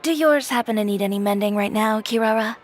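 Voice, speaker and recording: A woman voicing a poised, self-assured young fashion designer who speaks calmly and matter-of-factly with dry teasing. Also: medium pitch, fast, slight background noise, natural voice. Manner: calm, composed, matter-of-fact with dry teasing. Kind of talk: game cutscene dialogue. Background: game soundtrack music.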